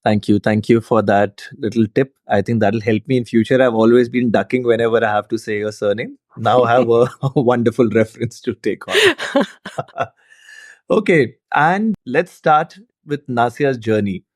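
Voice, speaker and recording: A man's voice: medium pitch, moderate pace, no echo, clean studio sound. A middle-aged man hosting a podcast, reading a formal introduction and asking interview questions in a polished, courteous way. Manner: polished, formal, with warm praise. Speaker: podcast host.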